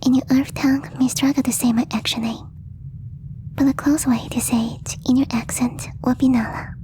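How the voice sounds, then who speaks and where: Gentle alien girl female performer, ASMR roleplay: breathy whisper, even melody, soft mellow tone, shyly formal and slightly stilted delivery. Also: high voice, normal speed, close to an everyday voice. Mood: gentle, earnest explaining. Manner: hesitant, apologetic, formal slightly stilted phrasing. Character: gentle, devoted young female alien posing as exchange student.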